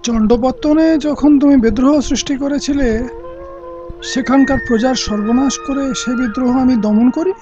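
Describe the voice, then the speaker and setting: A man's voice: very high voice, steady tone, moderate pace. A man playing a principled, defiant older royal uncle in an audio play, with earnest, poetic oratory. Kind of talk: Bengali radio play acting. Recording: dull lo-fi audio with music.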